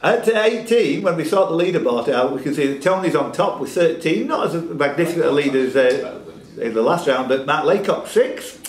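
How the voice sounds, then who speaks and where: A fairly high-pitched man with a lively, bouncing delivery reads out quiz scores and jokes with the players. The sound is roomy, picked up by a camera across the function room. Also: normal speed. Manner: jokey, banters with players. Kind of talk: calling names and reading scores. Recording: echoey room.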